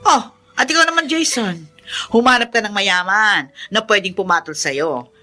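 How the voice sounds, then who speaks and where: An actress playing a callous, sharp-tongued middle-aged mother in a Filipino radio drama, scolding and dismissive. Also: medium pitch, animated, normal speed, faint music behind. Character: callous, sharp-tongued mother who spurns her children.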